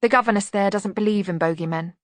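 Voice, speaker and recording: A woman voicing a brisk, stern governess in a storybook, speaking quietly and firmly with dry menace. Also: mid-range voice, no echo, lightly acted.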